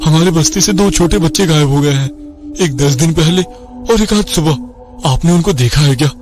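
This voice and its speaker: A man's voice: fairly high pitch, normal speed, darkish tone, faint music behind, natural voice. A man playing an earnest, worried villager with questions in a horror audio story. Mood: worried, urgent. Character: worried male villager searching for missing children.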